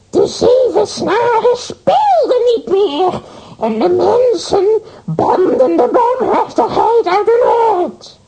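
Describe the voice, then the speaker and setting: A tiny, sly old witch with an extremely high, shrill voice that swoops up and down. She wheedles and flatters theatrically, drawing her words out very slowly in children's audio drama style. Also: exaggerated voice, poor audio. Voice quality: shrill, creaky. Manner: slow, drawn-out, theatrical wheedling.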